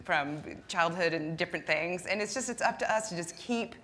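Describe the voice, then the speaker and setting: A woman's voice: lowish voice, a little fast, pushed and bright, a little hiss or hum. A woman answering on a live panel, self-mocking and acting out her own inner voice.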